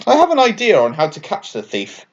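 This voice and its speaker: Man voicing an excitable high-pitched little bat. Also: fairly quick, lo-fi sound, full character voice. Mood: confident. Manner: excitable, earnest. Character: eager, brave little male bat, earnest hero.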